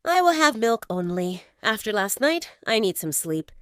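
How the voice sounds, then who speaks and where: A woman voicing a young adult sister who talks in a brisk, matter-of-fact way. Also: mid-range voice, sing-song, fairly bright tone, clean tone, no room sound, studio quality, pitched above the performer's own voice.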